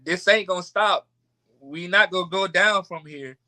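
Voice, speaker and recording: An American man in his late twenties talking casually as a podcast guest, animated and repeating phrases for emphasis. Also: high voice, normal speed. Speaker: podcast guest, creator of a miniseries. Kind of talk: casual conversation.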